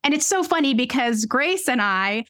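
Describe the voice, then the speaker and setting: Passionate woman advocate, a bit high. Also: animated, moderate pace, a bit bright, no echo, clean studio sound. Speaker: patient advocate, guest. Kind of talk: podcast interview, personal story.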